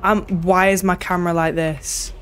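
A woman's voice: fairly low pitch, level tone, normal speed, a little hiss or hum. A young woman giving casual, playful, chatty commentary while she plays a video game. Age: young adult. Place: small indoor room at home.

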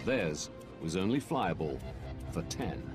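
A man reading scripted documentary narration in a measured, grave manner. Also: low voice, big pitch swings. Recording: music bed behind narration.

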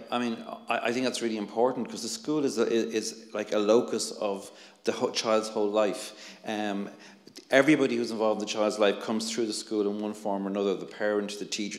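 A man's voice: on the low side, fairly even intonation, fairly quick. Irish man speaking in an echoey hall. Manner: earnest, many restarts and fillers. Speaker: panelist, anti-bullying researcher. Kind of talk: panel discussion. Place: conference hall, seated on stage. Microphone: handheld mic. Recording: echoey hall with long reverb.

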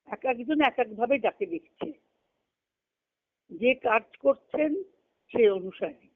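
Lady explaining a spiritual reading, talks slowly and rephrases stuff, tinny conference call phone audio. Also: fairly high pitch, lively intonation. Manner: explanatory, repeats and rephrases phrases. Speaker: spiritual discussion leader.

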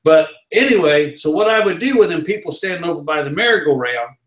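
An older man with a Southern American drawl spins yarns in a folksy, showman's way, his voice sitting a touch high for a man. He's caught by a distant camera in a small office with a roomy echo around him. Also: lively intonation, fairly quick. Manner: folksy, loud carnival-barker storyteller. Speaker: interview guest. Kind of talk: storytelling in a casual interview. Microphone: far camera.

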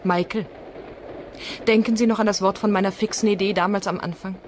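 A woman's voice: medium pitch, steady tone, a little fast, a little mellow, slight background noise, natural voice. An actress playing a determined, troubled woman lawyer in a German radio crime drama, earnest, insistent and articulate.

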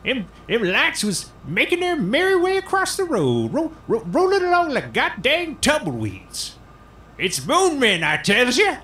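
A man's voice: extremely high pitch, huge pitch swings, unhurried, sharp tone, slight background noise, full character voice. An actor playing a defiant, drunken older small-town man, rambling in folksy rural grammar in an audio drama. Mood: agitated.

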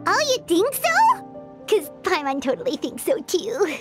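A tiny, excitable fairy girl with a very high, squeaky voice. She swoops wildly up and down in pitch as she brags and scolds. Game music plays behind her. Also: unhurried, exaggerated voice. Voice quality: high squeaky voice, talks about herself in third person. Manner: bossy and excitable. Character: tiny floating female fairy companion, excitable. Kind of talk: video game voice acting.

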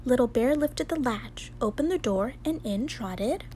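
A woman's voice: on the high side, expressive, measured pace, dark tone. A young woman reading a children's story aloud expressively, in a home recording. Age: young adult. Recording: home recording with clear background noise.

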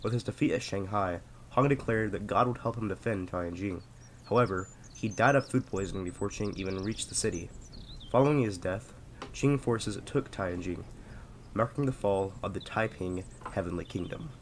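A young man who is sick reads a scripted history explainer in a steady, explanatory way, then signs off casually. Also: on the low side, normal speed, a little hiss or hum. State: sick, voice sounds off. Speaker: history video narrator. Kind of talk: scripted narration.

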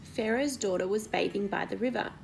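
A woman in her thirties reads a Bible story to children, explaining it and asking questions in an expressive, teacherly way. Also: mid-range voice, fairly quick, creaky, a little hiss or hum. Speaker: Sunday school story reader. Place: indoor living room at home.

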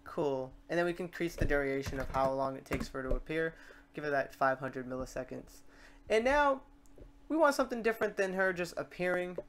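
A tutorial presenter talking informally through software steps and thinking aloud while working. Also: mid-range voice, animated, fairly quick, slight background noise. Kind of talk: casual screen-recorded software tutorial.

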